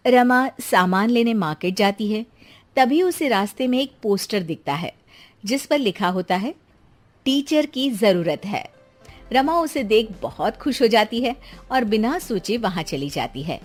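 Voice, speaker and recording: Female storyteller, high voice, scripted moral story narration, faint music behind. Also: lively intonation, normal speed.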